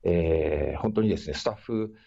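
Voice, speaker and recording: A man's voice: low-pitched, fast, vocal fry, dark tone, a little hiss or hum. A man speaking as an interview guest, making formal remarks on slightly muffled audio.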